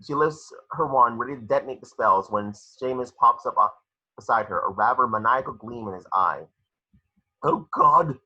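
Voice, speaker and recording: A man's voice: mid-range voice, brisk, breathy edge, dull audio. A man reading stage directions aloud as narrator in a dramatic screenplay read-through.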